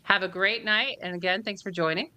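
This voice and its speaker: A woman's voice: mid-range voice, fairly even intonation, a little fast, pushed and bright, a little hiss or hum. A woman hosting a casual interview podcast, upbeat and giggly, encouraging her guests. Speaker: podcast host.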